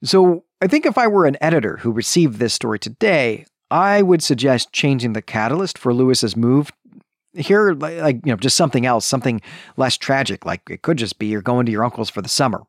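A man speaks as a solo podcast host, reviewing in a conversational but analytical style. His mid-pitched voice moves up and down a great deal as he stresses key words. The sound is dry, with very little room in it. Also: expressive, brisk, no echo.

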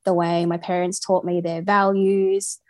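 A woman from Australia with an Australian accent, a podcast guest talking openly about her upbringing in a relaxed way. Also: fairly low pitch, measured pace, no room sound, studio quality. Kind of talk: casual interview.

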